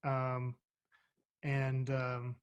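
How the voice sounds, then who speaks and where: Man, flat intonation, hesitant casual talk over a video call. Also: mid-range voice, drawn-out words, smooth, lo-fi sound. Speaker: cast member on a reunion panel. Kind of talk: casual chat.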